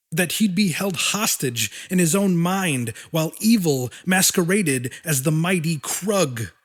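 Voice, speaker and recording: High-voiced man narrating dramatic fiction slowly. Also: slightly rough. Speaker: audiobook narrator.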